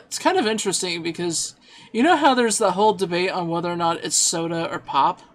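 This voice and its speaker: High-voiced man rambling on a stream. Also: a bit bright. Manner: rambling, self-deprecating, laughs easily. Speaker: game streamer. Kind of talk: casual chat while playing and reading chat.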